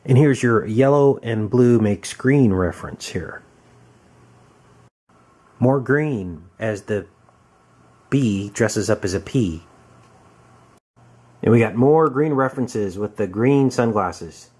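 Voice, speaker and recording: A man giving informal, halting conspiracy commentary on a film, explaining as he goes. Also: medium pitch, animated, darkish tone, breathy edge. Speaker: video commentator. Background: music.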